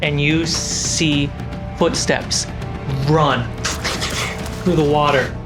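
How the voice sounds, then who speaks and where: A game master narrating a tabletop fantasy game in a measured, dramatic storyteller's way. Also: mid-range voice, fairly even intonation. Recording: music behind the voice. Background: background music score.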